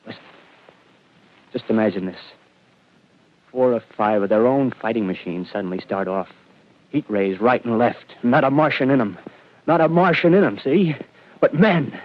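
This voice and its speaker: Brief: man acting a feverish, scheming ex-soldier in a vintage radio drama, mid-pitched, muffled old broadcast. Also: normal speed, pauses often, natural voice. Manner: rambling, feverish, swings between hushed and loud. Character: desperate male ex-militiaman scheming to survive the invaders.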